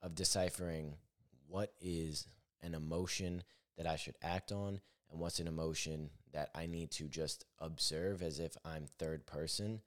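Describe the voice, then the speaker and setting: A young man with a naturally deep voice hosting his own podcast, talking candidly in a rambling, self-correcting way. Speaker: podcast host. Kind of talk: solo reflective podcast monologue.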